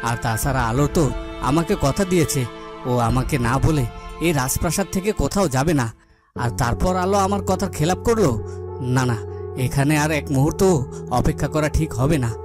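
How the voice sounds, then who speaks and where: A man's voice: fairly high pitch, animated, brisk, close to an everyday voice. A man playing an anxious, devoted fairy-tale king in a cartoon, fretful, repetitive and melodramatic. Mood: worried. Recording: music behind the voice.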